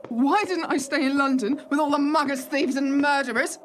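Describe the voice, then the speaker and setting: A woman's voice: high-pitched, moderate pace, a little hiss or hum, close to an everyday voice. A woman playing a skeptical, sardonic assistant hotel manager from London in a radio play, sometimes hesitant when flustered. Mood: dismissive, sarcastic. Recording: music behind the voice.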